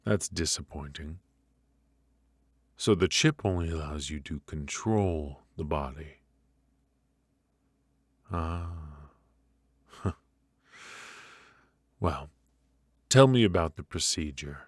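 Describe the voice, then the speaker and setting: A man performs a menacing mafia boss with an extremely low voice, recorded close and dry. He talks very slowly and deliberately, teasing his captive with mock politeness in an intimate ASMR roleplay style. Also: lively intonation, dry recording, heavily acted. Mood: probing, unhurried. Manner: slow, deliberate, mockingly polite with drawn-out pauses.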